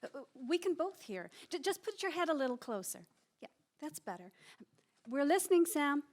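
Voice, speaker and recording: A spirited young American sweetheart in an old-time radio play. Her high voice leaps about expressively, pert and teasing, with emphatic delivery. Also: big pitch swings, normal speed. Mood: tense. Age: young adult.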